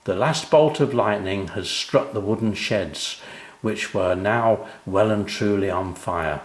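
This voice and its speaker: An older man narrating his own children's story in an expressive storytelling style. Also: on the low side, slow pace, echoey room.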